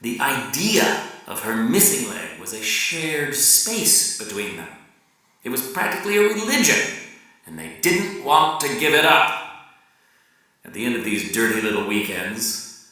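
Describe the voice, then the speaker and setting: A man's voice: mid-range voice, big pitch swings, unhurried. Man reading theatrically in an echoey room. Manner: wry, theatrical, shifting from loud emphasis to hushed murmurs. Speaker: reader at a live dramatic reading. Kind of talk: dramatic reading of a novel monologue. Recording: very echoey room, distant mic.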